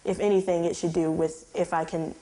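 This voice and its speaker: A woman's voice: on the low side, little pitch movement, a little fast, dark tone, poor audio. A teenage girl auditioning for a lead role, speaking candidly about growing up in a musical family. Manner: candid and reflective. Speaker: student auditioning.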